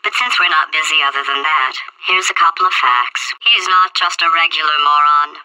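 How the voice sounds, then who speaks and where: A woman voicing a sarcastic female computer AI stuck in a potato, with a robotic processed voice and deadpan insults. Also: deep voice, moderate pace, rough recording. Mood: agitated. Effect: robotic synthetic processing. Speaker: video game character. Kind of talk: game voice acting.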